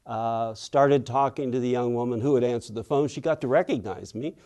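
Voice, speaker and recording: A man's voice: mid-range voice, dark tone. An older man from Western Pennsylvania, an author telling reflective stories in an interview and acting out the voices of people he quotes. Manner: thoughtful, unhurried, acts out quoted people.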